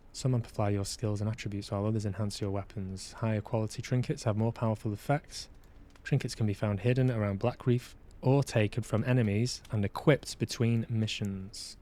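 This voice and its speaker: A man's voice: on the low side, warm tone, slight background noise. A man hosting a live game stream, reading on-screen text aloud with casual asides. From England. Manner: casual asides between read-out passages. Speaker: game streamer and podcast host.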